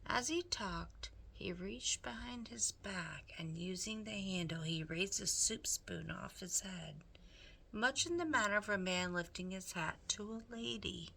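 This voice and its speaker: A woman narrating a children's novel aloud in an expressive read-aloud style, as a teacher would. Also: medium pitch, a little slow, creaky, husky.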